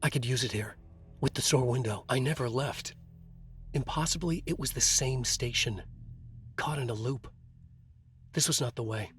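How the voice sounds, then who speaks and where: A man's voice: mid-range voice, normal speed, pauses often, a bit bright, a little hiss or hum, close to an everyday voice. A man playing a haunted writer in a video game, narrating his nightmare in terse, brooding, dramatic lines. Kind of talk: scripted noir-style game narration.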